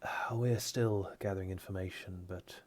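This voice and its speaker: A man voicing a formal male knight giving a measured briefing in a tabletop game. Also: sits low, steady tone, darkish tone. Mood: grave.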